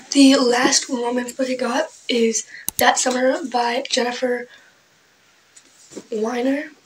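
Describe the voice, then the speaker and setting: A young woman chatting casually about her book haul, clearing her throat often as she loses her voice, her asides trailing off soft and breathy. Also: on the high side, little pitch movement, slow, long pauses, a little hiss or hum, low-quality recording. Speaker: book vlogger. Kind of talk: casual book haul chat with read-aloud summaries.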